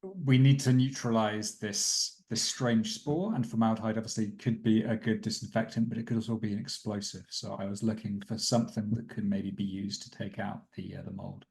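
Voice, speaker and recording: A man's voice: fairly low pitch, steady tone, fairly quick, creaky, hardly any pauses, darkish tone. A man talking casually as a player in a tabletop roleplaying game, describing what his character does.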